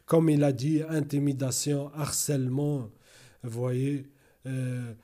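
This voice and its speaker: Slow, rambling non-native French-speaking man. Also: mid-range voice, little pitch movement, dark tone. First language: not French. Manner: rambling, repeats "vous voyez" often; hesitant, many fillers and restarts. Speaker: YouTuber. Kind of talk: casual monologue to camera.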